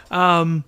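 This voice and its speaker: A man's voice: high-pitched, pushed and bright, clean tone. A man co-hosting a casual podcast chat, talking in an enthusiastic, slangy, self-deprecating way. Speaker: podcast co-host. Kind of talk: casual chat about superhero shows.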